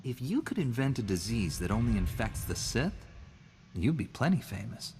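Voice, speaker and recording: An actor playing a stoic Jedi hero who answers with dry, deadpan remarks in a video game. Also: male voice, mid-range voice, moderate pace, in a large hall, soft background music. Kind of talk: video game dialogue acting.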